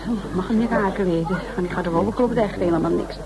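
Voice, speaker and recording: A woman's voice: fairly low pitch, brisk, natural voice. A woman acting in a Dutch radio play as a chatty, blunt, busy friend with a breezy, exclamatory way of talking. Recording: clearly noisy radio play recording.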